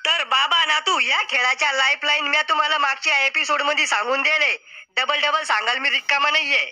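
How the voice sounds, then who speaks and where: Guy voicing a high squeaky game show host in a cartoon, sarcastic and mock formal, really bright sharp sound. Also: steady tone, a little fast, exaggerated voice. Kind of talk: cartoon comedy acting.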